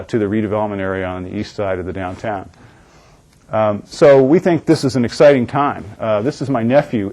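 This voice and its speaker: Male seminar speaker, low-pitched, keen and loose, lots of uhs. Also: a little mellow. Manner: enthusiastic, many fillers. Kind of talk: lecture with slides. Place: lecture room.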